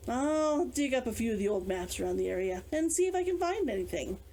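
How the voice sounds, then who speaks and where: A woman reads the part of a practical, inquisitive man planning a search in a storybook adventure. The voice is on the high side and very lively, thinking aloud and asking questions. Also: brisk. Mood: thoughtful, practical. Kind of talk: character dialogue in audiobook reading.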